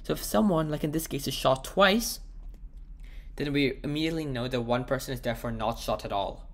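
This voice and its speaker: A man with a sore, croaky throat, thinking aloud as he works through a math problem and slowing down as he types the proof. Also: mid-range voice. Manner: thinks aloud, slows down while typing. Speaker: math tutor. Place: at a computer, screen recording.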